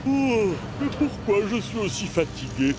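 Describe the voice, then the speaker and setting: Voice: medium pitch, big pitch swings, a little slow, background noise. An actor playing a sleepy, apologetic little male vehicle in a children's cartoon, with a hesitant, stammering, plaintive delivery. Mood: tired, apologetic. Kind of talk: French-dubbed children's animation acting. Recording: music behind the voice.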